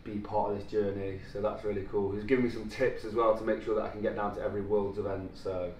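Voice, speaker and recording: A man's voice: on the low side, little pitch movement, fast, a little mellow. A man in his twenties vlogging in a casual, upbeat way, with plenty of fillers, filmed indoors in a studio. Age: 20s. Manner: chatty, upbeat, loose with fillers. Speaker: vlogger and filmmaker. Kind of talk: casual vlog chat. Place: studio office and lounge indoors. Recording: roomy, distant, faint noise.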